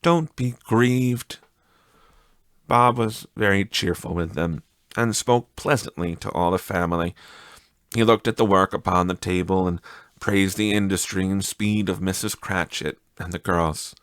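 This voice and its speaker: A man narrating a literary story as an audiobook reader, with measured, dramatic delivery. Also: low voice, a bit bright, no echo, studio quality.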